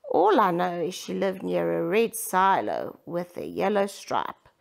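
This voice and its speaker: A woman voicing a shy, gentle female bunny who speaks softly and hesitantly. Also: lowish voice, big pitch swings, unhurried, vocal fry, heavily acted. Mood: wistful.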